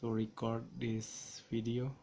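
A man from Indonesia with an Indonesian accent, talking through a software tutorial in a slow, hesitant way with many fillers, recorded on a smartphone. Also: mid-range voice, completely flat delivery, slight background noise. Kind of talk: screen-recorded coding tutorial. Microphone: smartphone camera filming screen.

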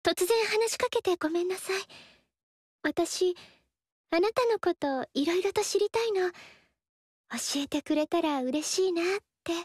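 An actress voicing a gentle, friendly young noblewoman in a video game, talking warmly and chattily. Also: very high voice, a little slow, no room sound, clean studio sound. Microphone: studio mic, dry.

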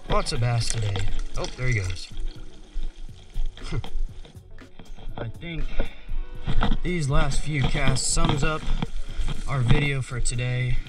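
A young man, excitedly narrating his catches on a wooded creek bank, recorded on an action camera he is wearing. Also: medium pitch, recorded from a distance. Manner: excitable, keeps narrating catches, says "y'all" and "dude". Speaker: fishing channel host. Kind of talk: excited casual play-by-play while fishing. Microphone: head- or chest-mounted action camera. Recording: action camera audio under loud background music.

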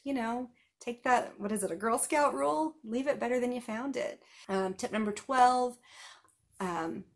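A woman in her thirties giving numbered tips in a casual chat to camera, seated on a couch at home, with small laughs and asides. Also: mid-range voice. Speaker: vlogger giving festival tips.

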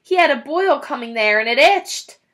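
Lady in her 30s doing a lively kids book read aloud, really expressive and bright. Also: sits high, moderate pace. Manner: animated, dramatic. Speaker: children's story reader. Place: small indoor room, classroom-like decor.

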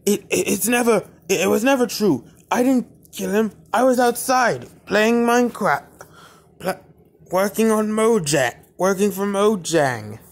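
A man's voice: high-pitched, unhurried. A man voicing a flustered, defensive Minecraft character who stammers and repeats himself, in an amateur animation fandub. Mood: panicked, pleading. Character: panicky, defensive male Minecraft character pleading innocence. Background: music.

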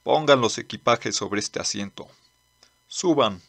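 A man voicing a gruff, practical older desert traveller in a manga dub, gravelly and matter-of-fact. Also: mid-range voice, unhurried, fairly bright tone. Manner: firm, matter-of-fact, instructing. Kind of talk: amateur manga dub acting.

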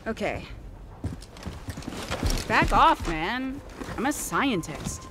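A woman's voice: on the high side, sing-song, extremely slow, noisy background, exaggerated voice. A woman playing an aloof, prickly scientist with a dry, cutting manner in a video game cutscene. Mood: focused, curt. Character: aloof, prickly female scientist on a military team.

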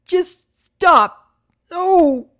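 A man's voice: on the high side, big pitch swings, normal speed, mellow, pitched above the performer's own voice. A man voicing a nervous, bookish young pony mare who talks hesitantly. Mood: distraught. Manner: hesitant, fretful.

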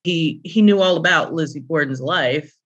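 A woman's voice: low voice, normal speed, a bit bright, husky, highs cut off. A middle-aged or older woman speaking as an interview guest, reminiscing in an anecdotal, conversational way. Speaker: interview guest, author and publisher.

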